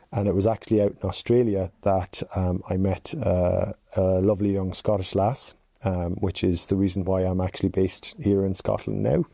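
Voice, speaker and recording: A man's voice: fairly low pitch, gravelly, warm tone, dry recording, studio quality. A man in his forties with an Irish accent, chatting in anecdotes as an interview guest on a podcast. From rural Ireland. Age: 40s. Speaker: podcast guest, chief executive. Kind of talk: conversational interview answers.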